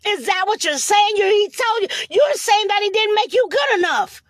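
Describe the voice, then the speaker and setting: Lady preacher, really high voice, fired up motivational talk, repeats phrases for emphasis, dry sound. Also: lively intonation, moderate pace, dry recording. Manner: exhorting, emphatic, repetitive phrasing with rhetorical questions. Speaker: motivational preacher hosting a live stream.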